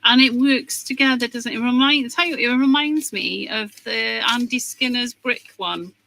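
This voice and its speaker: A woman's voice: a little high, moderate pace, vocal fry, poor audio. A woman co-hosting, chatting casually and admiring each item. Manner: warm, admiring, lots of "oh" and "mm". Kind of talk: casual chat.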